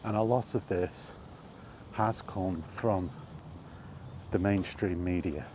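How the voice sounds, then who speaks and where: A man with a fairly low voice speaks off the cuff, putting deliberate weight on his points. He repeats phrases and poses rhetorical questions as he argues his opinion. Noticeable ambient noise sits behind him. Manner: emphatic, deliberate delivery with rhetorical questions and repetition. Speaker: video host sharing opinions on gaming. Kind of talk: unscripted opinion monologue while walking.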